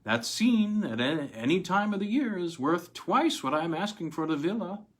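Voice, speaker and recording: A man's voice: high voice, lively intonation, moderate pace, a bit bright, pitched above the performer's own voice. A man reading a story voices a pushy, smiling Italian real estate salesman, emphatic and persuasive. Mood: insistent, persuasive.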